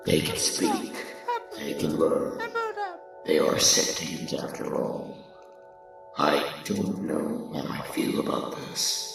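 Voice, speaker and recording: A woman voicing a cold, calculating male skeleton scientist who narrates an experiment log in a measured, clinical manner for a comic dub. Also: a bass voice, vocal fry, full character voice. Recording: cavernous long reverb, distant, under music.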